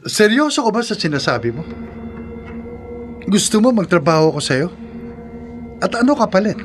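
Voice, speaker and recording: An actor playing a cocky, greedy gambler in a horror radio drama, with a brash, questioning manner. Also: male voice, sits high, very animated, normal speed, over music. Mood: eager, cocky. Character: cocky, greedy male gambler, wary of bargains.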